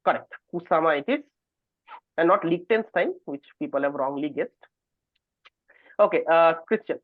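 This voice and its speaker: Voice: mid-range voice, lively intonation, moderate pace. Quizmaster, question-reading delivery, narrow phone-quality audio, online call, no room echo. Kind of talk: reading quiz questions aloud.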